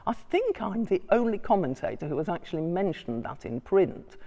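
An older woman giving a scholarly talk from her notes, measured, with small hesitations and asides. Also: lowish voice, sing-song, dark tone. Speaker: lecturer. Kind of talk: public talk reading from notes. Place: large church interior. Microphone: distant room mic. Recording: long church reverb.

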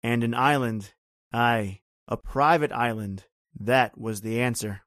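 An actor playing a bitter, grim island native who tells an old horror tale in brooding, rhythmic, folksy phrases, in old-time radio drama style. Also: male voice, medium pitch, a little slow, fairly bright tone, no echo, clean studio sound, lightly acted. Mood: grim, intense.

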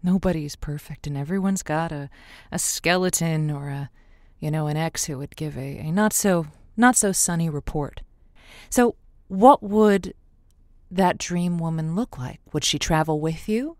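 A woman's voice: deep voice, a little slow, darkish tone, no echo, clean studio sound. An actress playing an earnest, folksy woman who hosts a radio dating-advice show, chatty and playful. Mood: earnest, encouraging. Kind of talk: audio drama acting as late-night radio host.

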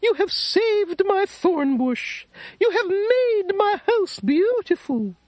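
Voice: very animated, slow pace, exaggerated voice. A storyteller voicing an emotional old lady who dotes on a plant, over background music. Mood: grateful. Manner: shrill, exclaiming. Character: tearful, doting old lady.

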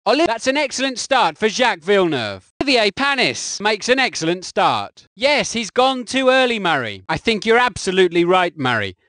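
A man delivering recorded co-commentary lines for a racing game in a measured, matter-of-fact way. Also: high voice, very animated, bright, forceful tone, no room sound, clean studio sound.

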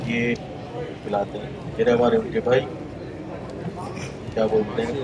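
A man's voice: fairly low pitch, moderate pace, highs cut off, crowd noise. A man casually showing viewers around his exhibition stall, explaining step by step. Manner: relaxed, explains step by step, fillers like "bole to". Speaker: exhibitor giving a stall tour. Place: busy exhibition hall.

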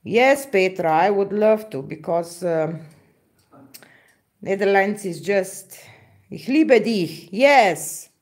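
Slow-talking Slovenian-accented woman. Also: mid-range voice, lively intonation, a little mellow. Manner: halting, searches for words, frequent fillers and laughs. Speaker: acrylic pouring artist hosting a live stream. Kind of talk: casual live-stream chat reading viewer comments. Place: indoor craft table, camera overhead.

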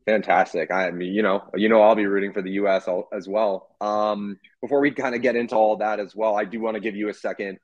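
A man's voice: on the low side, fairly even intonation, fairly quick, few pauses, dry recording. A young man interviewing a guest over a video call, in a casual way with many fillers. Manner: casual, filler-heavy questioning. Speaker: interviewer, writer. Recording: dull, lo-fi video call audio.

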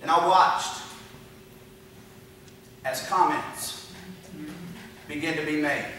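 A man's voice: fairly high pitch, slow, sharp tone, slight background noise. A middle-aged man preaching a sermon on a church stage into a handheld mic, building emphatic lists of repeated phrases. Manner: emphatic, repetitive preaching with long rhetorical lists. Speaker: pastor. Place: dark church stage with projection screen. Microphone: handheld mic, filmed from a far camera. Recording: echoey church hall from far off.

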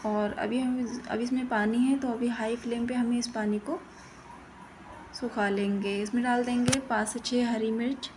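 A woman's voice: a little high, fairly even intonation, moderate pace, noisy background. A woman giving plain-spoken, step-by-step instructions in a recipe voice-over as host of a cooking channel.

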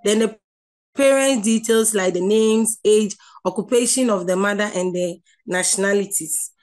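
A woman with a Ghanaian accent giving an online lecture over slides, explaining slowly with many restarts and filler sounds. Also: medium pitch, darkish tone.

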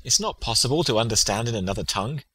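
An actor playing a prince's loyal, sensible friend in a Shakespearean audio drama, plain and dryly observant. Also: male voice, mid-range voice, lively intonation, quick pace, bright, forceful tone, husky. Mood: wry.

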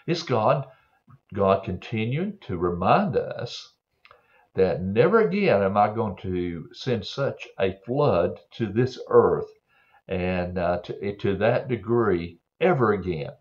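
An older man preaching a Bible story to children in a slow, earnest way, with many fillers and throat clearing, recorded on a webcam in a home office. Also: on the low side, lively intonation. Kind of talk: gentle storytelling sermon for children. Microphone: webcam on a desk. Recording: dull lo-fi webcam audio.

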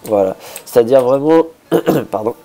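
A man with a hoarse voice, a bit sick, casually talking through a building project, thinking aloud with many hesitations and restarts. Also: medium pitch, lively intonation, measured pace, dark tone, faint music behind. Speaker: Minecraft builder.

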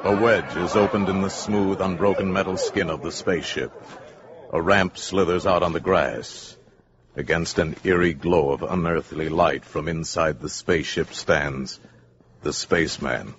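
An actor as a grave, portentous American narrator of a 1950s radio drama. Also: male voice, deep voice, fairly even intonation, unhurried, background noise. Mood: hushed, ominous. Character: grave, ominous male radio-play narrator. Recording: muffled 1950s radio, loud music behind.

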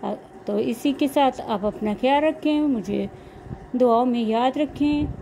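A woman's voice: high-pitched, a little slow, warm tone, poor audio. A woman narrating a recipe step by step as a cooking vlog host, in a homemade recording. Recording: clear background noise, homemade recording.

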